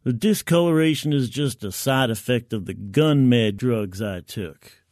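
A male narrator performs a first-person military science fiction story. His voice is medium in pitch, with a colloquial, wry and gritty soldier's delivery. The recording is very dry, with no room sound. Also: a little slow, a little mellow, no echo.

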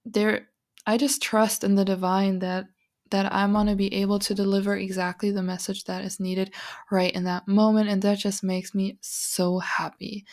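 A woman hosting a spiritual podcast, talking in an earnest, encouraging, rambling way with a raspy voice. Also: medium pitch, a little mellow. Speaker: podcast host and psychic reader. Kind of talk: casual spiritual podcast monologue.

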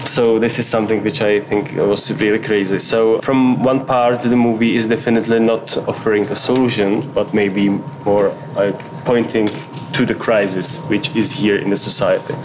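A man speaks in a level, flat-toned way, pausing often on "uh" as he searches for words. His phrasing is sometimes awkward. Steady noise sits behind his voice. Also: lowish voice. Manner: hesitant, many uh fillers, non-native phrasing. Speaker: filmmaker guest. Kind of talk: interview answers. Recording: noticeable background noise.

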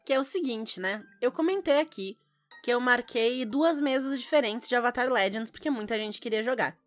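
A Brazilian woman with a fairly high voice talks as a podcast co-host. Her chat is casual and teasing, with faint music behind her. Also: moderate pace.

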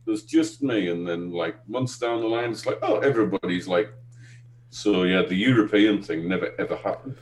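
A man's voice: fairly low pitch, normal speed, hardly any pauses. A man in his forties with a Northern English accent, chatting casually as a podcast guest and telling anecdotes. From Northeast England, UK. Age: 40s. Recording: roomy sound with faint hum.

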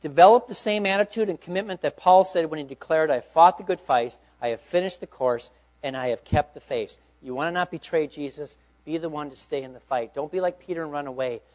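A middle-aged man preaches with a notably high voice, pressing his points with emphatic bursts and then falling to hushed asides. The recording is dull and lo-fi, with the top end muffled. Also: a little fast. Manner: emphatic preaching, swinging between loud urging and hushed asides. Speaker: pastor. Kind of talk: sermon. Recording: dull lo-fi sound.

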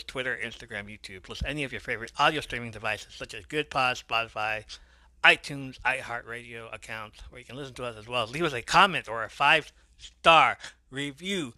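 A man hosting a podcast, giving relaxed, rambling shout-outs to listeners and reading out their names in a voice he says people find soothing. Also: mid-range voice, dry recording. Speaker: podcast host.

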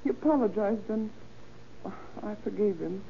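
An actress playing a faded, anxious little housewife in an old radio crime drama, hesitant, flustered and often stammering. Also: female voice, mid-range voice, normal speed, gravelly, lightly acted. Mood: ashamed, flustered. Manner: hesitant, stammering, repeats words. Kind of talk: 1930s-40s radio crime drama acting. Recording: old tinny radio recording with noise.

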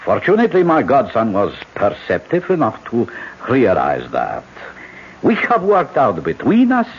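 Husky, swooping, suave old generalissimo. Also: male voice, medium pitch, huge pitch swings, a little slow, heavily acted. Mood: smooth, pompous. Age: older. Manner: urbane, oily, oratorical. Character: suave, scheming elder generalissimo, falsely courteous. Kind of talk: old-time radio drama acting. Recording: dull old radio broadcast.

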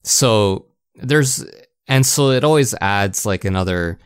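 A man's voice: on the low side, lively intonation, slow pace, clear, resonant tone, dry recording, clean studio sound. A man co-hosting a podcast, chatting casually about video games. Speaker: podcast co-host. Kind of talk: casual video game discussion.

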